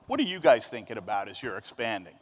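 A man's voice: on the low side, sing-song, fast. A man in his forties moderating a conference panel on a large stage, emphatic and upbeat, speaking into a handheld mic. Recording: long hall reverb.

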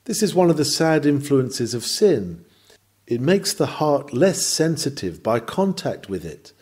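A man's voice: mid-range voice, big pitch swings, measured pace, a little mellow. A man reading a scripted sermon in a solemn, emphatic, rhetorical manner. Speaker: reader of a historical sermon. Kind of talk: sermon, read from a script with oratorical delivery.

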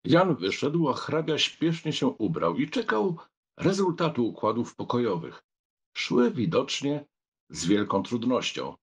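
A man's voice: mid-range voice, sing-song, measured pace, husky. A man reading scripted literary narration for an audiobook.

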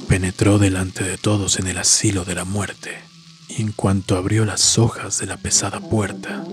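Low male narrator doing a scary story, measured n solemn, music in the back. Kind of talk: scripted horror story narration. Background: background music.

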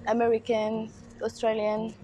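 Slow, halting woman with higher voice. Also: monotone, dark tone, rough recording. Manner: halting, searching for words. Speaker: interviewee, language student. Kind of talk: casual interview answers.

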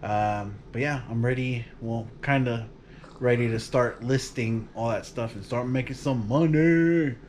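A man's voice: medium pitch, unhurried, a little hiss or hum. Vlog host in his thirties, easygoing and rambly, filmed at home on a couch by a camera across the room. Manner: relaxed, rambling, laughs often. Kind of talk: casual chat to camera.

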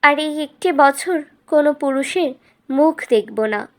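A woman's voice: high-pitched, measured pace, pitched above the performer's own voice. A woman voicing a clever, composed young fairytale princess, polite and persuasive. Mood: sweetly persuasive.

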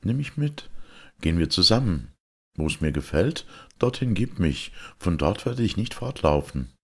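A man acts out a sorcerer's young apprentice from a storybook. He is self-assured and eager, pitched well above the narrator, with a slightly husky voice. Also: very animated, moderate pace, a little mellow, exaggerated voice. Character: cocky young sorcerer's apprentice boy, scheming and reassuring.

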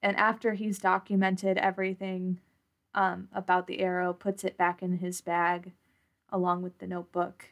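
A woman's voice: fairly low pitch, completely flat delivery, moderate pace. A woman improvising narration as a player in a tabletop role-playing podcast, thinking aloud hesitantly.

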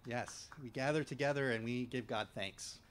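A middle-aged man, the pastor, leading prayers in a measured, gentle way into a stand mic at an outdoor church service. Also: mid-range voice. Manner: measured, gentle liturgical delivery. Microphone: stand mic at a lectern.

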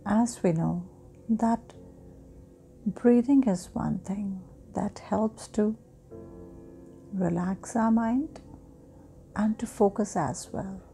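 A woman guiding a sleep hypnosis meditation in a soft, breathy, often near-whispering voice with long pauses. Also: medium pitch. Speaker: guided meditation host. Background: meditation music.

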